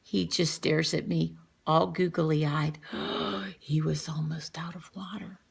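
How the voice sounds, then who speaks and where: A woman's voice: deep voice, slow, vocal fry, breathy edge. A woman reading a children's picture book aloud like a teacher, expressively acting out the story and commenting as she goes. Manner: animated, acts out dialogue and comments on the pictures.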